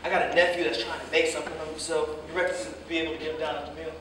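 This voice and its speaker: A man's voice: a little high, flat delivery, very fast, natural voice. A man playing an easygoing, earnest American cab driver in a staged play reading. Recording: echoey stage, distant mic, clear noise.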